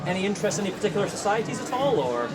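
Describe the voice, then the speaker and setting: A woman doing quick vox pop interviews at a fair, brisk and encouraging. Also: mid-range voice, sing-song. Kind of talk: street interview. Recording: busy fair with crowd and loud music.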